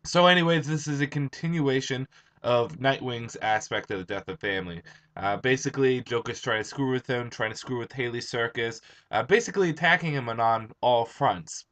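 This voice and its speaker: Hoarse, just-woken young man. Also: medium pitch, normal speed, fairly bright tone. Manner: rambling, chatty, with frequent "uh" and restarts. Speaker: comic book reviewer. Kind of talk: casual video review.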